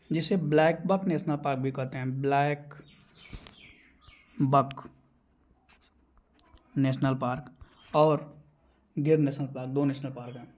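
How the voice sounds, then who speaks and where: A man's voice: medium pitch, darkish tone, dull audio. A man teaching exam questions, explaining answers and repeating key terms so they stick.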